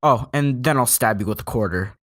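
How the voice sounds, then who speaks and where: A young man narrating a scripted comedy movie review, sarcastic and deadpan, often jumping up in pitch for a joke. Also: a little fast. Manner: sarcastic, deadpan jokes with sudden pitch jumps.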